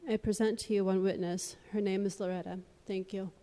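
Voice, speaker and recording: A woman's voice: mid-range voice, flat delivery, a little fast, dark tone. A woman public commenter reading a prepared, formal and earnest appeal at a meeting. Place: large echoing public meeting chamber. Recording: long echoing hall reverb.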